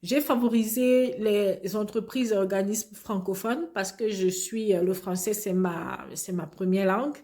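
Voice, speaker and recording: A woman from Cameroon tells her own story in an interview setting. She speaks in a middling register, reflective and measured, stopping now and then to search for her next words. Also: medium pitch, dark tone. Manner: reflective, frequent hesitations. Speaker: immigrant interviewee. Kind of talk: personal testimony, conversational.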